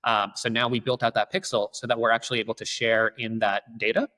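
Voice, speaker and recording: Bright-voiced man explaining as a guest. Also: mid-range voice, level tone, quick pace. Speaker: podcast guest, advertising company lead. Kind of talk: explanatory interview talk.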